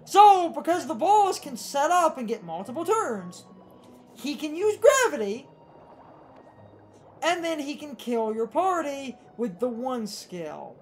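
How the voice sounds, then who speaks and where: A woman's voice: sits high, sing-song, takes it slowly. A woman doing casual gaming commentary, explaining things in a rambling, argumentative way over game music. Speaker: let's play co-commentator. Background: video game music.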